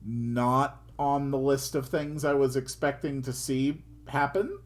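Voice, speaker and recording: A man who speaks English natively, streaming himself reading a visual novel aloud and musing about it between passages. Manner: slow, musing, with wide swings in pitch. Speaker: game streamer.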